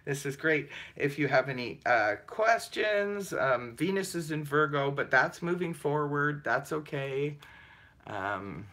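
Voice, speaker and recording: A man hosting a casual astrology livestream with a deep voice, chatting loosely with many fillers, laughing often and answering viewer comments. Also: lively intonation, slow pace, sharp tone. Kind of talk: casual live chat reading viewer comments.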